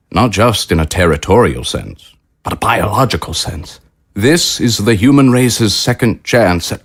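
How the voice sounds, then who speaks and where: An actor playing a grandiose, smoothly menacing government official in an audio drama, with formal, oratorical delivery. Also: male voice, sits low. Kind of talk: scripted audio drama acting.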